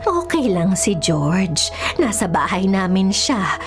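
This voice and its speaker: A woman's voice: medium pitch, sing-song, slow pace. A Filipino actress playing a caring older mother and neighbour in a radio drama, speaking in a soothing, motherly way. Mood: tender, reassuring. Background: dramatic music.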